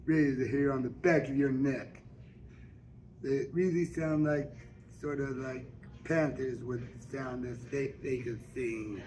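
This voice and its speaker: A man reading a first-person novel aloud as an amateur narrator, halting, with stumbles and repeated words. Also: medium pitch, steady tone, darkish tone. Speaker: amateur audiobook reader. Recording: faint background noise.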